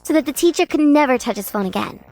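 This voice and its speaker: A woman narrating as an exasperated schoolgirl in a manga dub, lively and a bit sarcastic. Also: sits high, quick pace, over loud music. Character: exasperated schoolgirl narrating her class's story.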